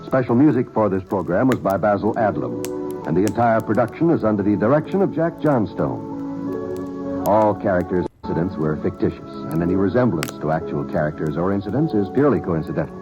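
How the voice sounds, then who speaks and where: A man's voice: low voice, brisk. A man working as a network radio announcer, reading credits and program promos in a polished, formal broadcast style. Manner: polished, expressive announcer delivery. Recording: dull lo-fi 1950s radio broadcast. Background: music.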